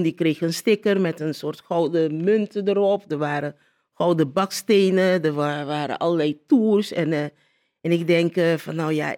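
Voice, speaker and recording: Halting woman in an interview. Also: lowish voice, dark tone. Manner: halting, searching for words. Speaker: city councillor. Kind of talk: spontaneous interview recollection.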